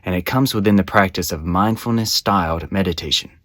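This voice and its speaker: A man with a low voice leads a guided meditation from a script. His phrasing is measured and soothing, giving gentle instructions step by step. The sound is dry, with very little room in it. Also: dry recording.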